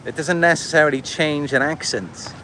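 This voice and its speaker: Male livestreamer, Welsh accent, voice a bit on the high side, loose rambling delivery, light street noise behind. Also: moderate pace, pushed and bright, poor audio. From Wales. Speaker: livestream host and content creator. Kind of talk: casual livestream monologue, answering viewer comments.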